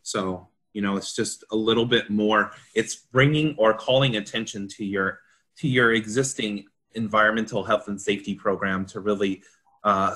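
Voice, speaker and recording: A man's voice: on the low side, pushed and bright. A man working as a consultant, explaining a technical standard informally and in plain terms in a discussion. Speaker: consultant panelist.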